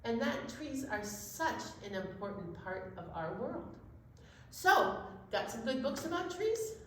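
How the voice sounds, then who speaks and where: A woman's voice: a little high, sing-song, a little slow, a bit bright. A woman co-hosting a children's storytime, chatting simply and instructively with emphatic encouragement. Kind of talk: simple educational chat for young children. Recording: very echoey room with faint noise.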